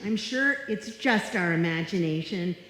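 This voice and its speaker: A woman's voice: on the low side, lively intonation, measured pace, a bit bright. A woman playing a sensible but rattled and exasperated roommate in a live old-time radio comedy. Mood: nervous, insistent. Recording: echoey hall, live stage performance.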